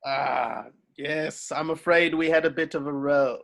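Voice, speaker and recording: An actor playing a kindly wizard father, warm but hesitant and awkward, in a table read. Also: fairly high pitch, steady tone, a little slow, vocal fry. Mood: awkward regret. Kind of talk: amateur online table read of a screenplay.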